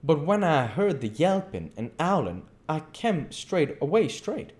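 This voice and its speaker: A man's voice: fairly high pitch, very animated, a little slow, darkish tone. A man reading a classic novel aloud as an amateur narrator, stumbling and repeating phrases as he goes. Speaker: amateur audiobook reader.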